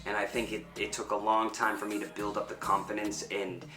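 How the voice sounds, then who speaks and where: Male interviewee, reflective performer, fairly deep voice, noticeably roomy recording. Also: flat delivery, brisk, sharp tone. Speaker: performer and fight choreographer. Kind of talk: reflective interview answers to camera. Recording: roomy echo with music underneath. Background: background music.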